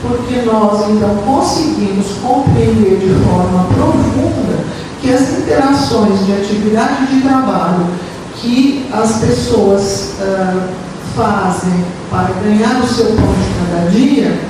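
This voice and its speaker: A woman with a Brazilian Portuguese accent giving an academic panel talk as a professor, explaining haltingly and often checking for agreement with short tags. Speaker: university professor and researcher. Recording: echoey, reverberant room, distant mic, noisy rumble.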